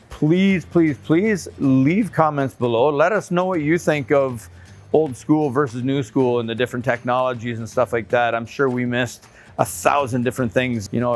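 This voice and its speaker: A middle-aged man hosting a trucking video, talking casually to the camera outdoors in a folksy, upbeat way. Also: medium pitch. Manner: folksy and chatty, upbeat asides; nervous on camera. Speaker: trucking channel host.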